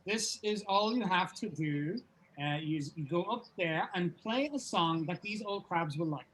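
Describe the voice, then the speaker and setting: A man's voice: sits high, unhurried, a bit bright, slight background noise, low-quality recording. A man reads the part of a pompous leader of a band in a comedy script. The manner is ceremonial and mock-solemn, as if presiding over a ritual. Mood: offhand. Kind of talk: comedy script table read.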